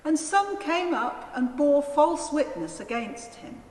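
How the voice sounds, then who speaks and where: A woman narrating a dramatised scripture reading in a solemn, deliberate manner. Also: high-pitched, lively intonation, darkish tone. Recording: echoey church, long reverb, faint noise.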